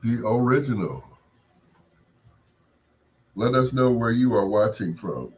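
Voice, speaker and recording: A man's voice: medium pitch, lively intonation, slow pace, a little mellow. A man working as a radio DJ and host, chatting casually and playfully in a teasing, familiar way with listeners on a late-night music show.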